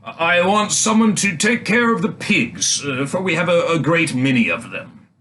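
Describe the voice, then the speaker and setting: Man acting a genial, high-toned storybook emperor. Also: expressive, normal speed, bright, forceful tone, slight background noise.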